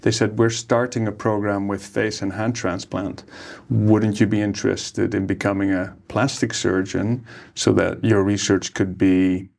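A man in his forties with a low, gravelly voice tells his story at an easy, unhurried clip. He pauses often on small hesitations, sounding settled and candid in a seated interview with a table microphone. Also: level tone, darkish tone. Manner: easygoing, hesitant with many uhs. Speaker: plastic surgeon guest. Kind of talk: relaxed interview conversation. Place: ornate room, seated interview. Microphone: studio microphone on table.

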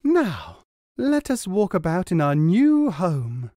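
A man voicing a greedy, demanding fisherman's wife who is never content, in a high, insistent, scolding voice. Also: wildly swooping pitch, takes it slowly, warm tone, dry recording, clean studio sound, exaggerated voice. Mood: haughty.

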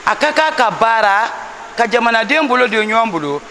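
A woman's voice: fairly high pitch, animated, moderate pace, a bit bright, a little hiss or hum. A middle-aged woman from Guinea answering a street interview indoors, firmly repeating her main point. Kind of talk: vox pop answer. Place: indoors by a wall.

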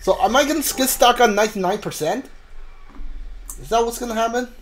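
Halting male game streamer. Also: very high voice. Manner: halting, repeats words while thinking. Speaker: gaming streamer. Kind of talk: casual live playthrough commentary. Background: faint game music.

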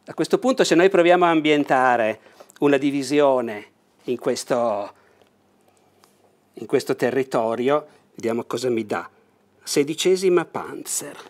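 Older man, enthusiastic board game host, animated explainer, clip-on mic indoors. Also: mid-range voice, unhurried, pauses often. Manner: animated, leans over table and gestures while explaining. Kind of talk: enthusiastic unboxing talk. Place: bright indoor room with a large table.

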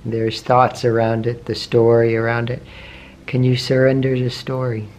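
A man guides a meditation in a soft, unhurried voice of middling pitch, letting long pauses fall between short phrases. His questions come gently and patiently. A faint hiss sits under his voice. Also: completely flat delivery. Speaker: meditation teacher. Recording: faint background noise with meditation music.

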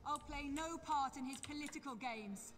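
An actress as a commanding high priestess declaims in a fairly high voice. She is emphatic and principled, in the indignant style of fantasy video game dialogue. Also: moderate pace, natural voice. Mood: indignant, firm.

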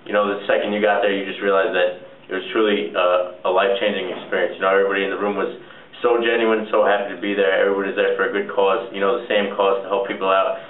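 Low-voiced young male, brisk casual delivery, roomy off-mic camera recording. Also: little pitch movement. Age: young adult. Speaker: college fraternity volunteer. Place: plain-walled indoor room. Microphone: camera a short way off. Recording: echoey, distant, dull camera audio.

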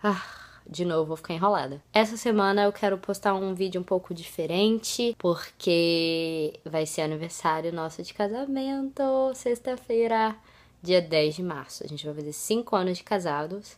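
A woman's voice: fairly low pitch, a bit bright. Chatty young woman vlogging at home. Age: young adult. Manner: chatty, laughs often, many fillers. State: slightly stuffy from a cold. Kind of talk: casual vlog chat. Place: small bedroom at home. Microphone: phone camera at arm's length.

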